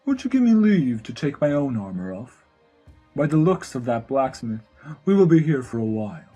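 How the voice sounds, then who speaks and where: A man playing a big, wry, easygoing Tauren bull-man in an audio drama, formally worded and gently teasing. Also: mid-range voice, sing-song, moderate pace, slightly rough, dull audio, faint music behind. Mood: polite, amused.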